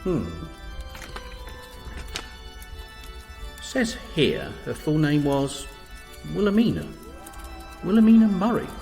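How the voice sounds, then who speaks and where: An actor playing a dutiful, dryly unflappable servant to a vampire in a comedy audio drama, deferential in manner. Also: male voice, a little high, wildly swooping pitch, a little slow, warm tone, faint music behind, heavily acted. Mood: deferential, pondering. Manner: deferential, dry. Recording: long echoing hall reverb.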